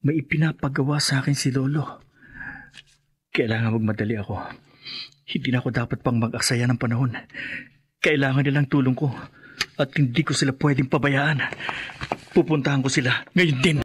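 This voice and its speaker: A man's voice: medium pitch, fairly even intonation, normal speed, pauses often, slightly rough. An actor playing an earnest young village man in a radio drama, speaking with urgent determination. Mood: determined.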